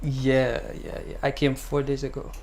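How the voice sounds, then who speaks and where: A man's voice: medium pitch, moderate pace, a little hiss or hum. A young Gambian man, a singer and songwriter, chatting casually as a guest in an interview, hesitant, with many fillers. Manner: relaxed, hesitant with many fillers, laughs easily.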